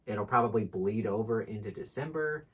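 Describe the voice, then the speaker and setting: A man with a low-pitched voice and a husky edge talks casually as a book channel co-host. His delivery is informal and enthusiastic, with slangy everyday phrasing. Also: normal speed.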